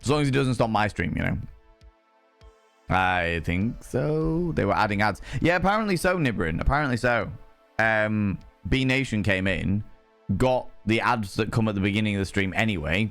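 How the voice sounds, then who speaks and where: A man hosting a livestream, hoarse after a day of shouting, greeting viewers with playful, theatrical flourishes in casual chat. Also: on the low side. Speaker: livestream host.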